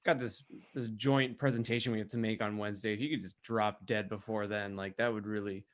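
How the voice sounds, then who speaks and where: A man co-hosting a podcast, chatting casually and comedically, thinking aloud in a halting way. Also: lowish voice, fairly even intonation. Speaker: podcast co-host.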